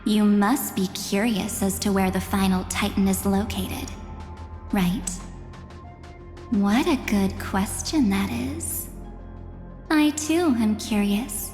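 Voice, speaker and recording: Actress playing enigmatic young game woman, slow, measured, mocking, theatrical, long reverb, music underneath. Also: mid-range voice, a little mellow, close to an everyday voice. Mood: coolly mocking. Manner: measured, deliberate, condescending, theatrical. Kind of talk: video game voice acting, theatrical dialogue.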